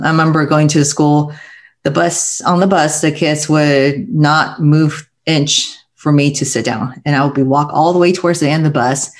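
Reflective Taiwan-born woman telling her story. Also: low voice. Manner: reflective, halting, with small restarts. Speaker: guest. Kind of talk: conversational interview storytelling.

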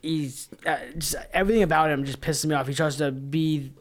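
A man's voice: fairly high pitch, fairly even intonation, a little fast. A man with a Boston accent co-hosting a podcast, giving blunt, irritated and sweary opinions in casual conversation. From Boston area, United States. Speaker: podcast co-host.

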